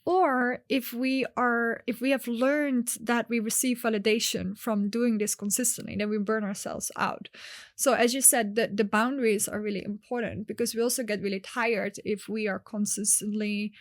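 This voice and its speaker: A woman with a fairly high voice and a close, dry sound talks as a coach in a conversational interview. She is earnest and expressive, leaning into her points with emphasis. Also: normal speed, no echo. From raised in Nepal.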